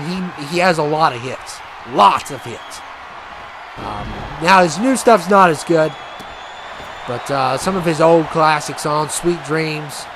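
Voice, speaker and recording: A man casually commentating a wrestling video game match, rambling and chatty with many fillers, and he says he has a loud voice. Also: on the high side, noisy background. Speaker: gaming commentator.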